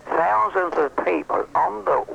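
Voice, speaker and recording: A man hosting a radio phone-in, putting measured questions to callers and guests. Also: sits high, animated, vocal fry, fairly bright tone, muffled recording, slight background noise.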